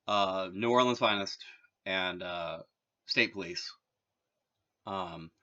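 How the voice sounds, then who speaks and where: A man's voice: deep voice, slow, bright, forceful tone, muffled recording. A man from Massachusetts talking in a rambling, casual monologue, full of filler words and wry asides. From Massachusetts, USA. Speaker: vlogger.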